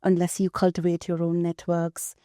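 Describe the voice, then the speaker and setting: Soft-spoken woman from India. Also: on the low side, monotone, normal speed, no echo, clean studio sound. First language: not English. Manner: thoughtful, many ums. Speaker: guest researcher. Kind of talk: casual podcast conversation.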